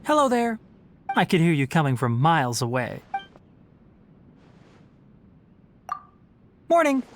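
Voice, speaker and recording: A young fox-eared forest ranger from a game, pitched low and swinging expressively through each phrase. The delivery is scholarly and articulate, like a teacher with a dry, teasing streak, over slight background noise. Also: female voice, huge pitch swings, fairly quick. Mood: bright, wry. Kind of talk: scripted game character voice lines.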